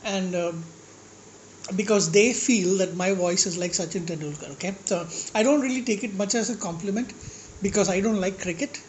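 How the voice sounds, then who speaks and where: A man with a slightly high-pitched voice, ranting casually to his vlog audience in a rambling, blunt way and swearing at critics. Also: normal speed, slight background noise, rough recording. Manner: rambling, with restarts and asides. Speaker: food vlogger. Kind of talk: casual rant to camera while eating.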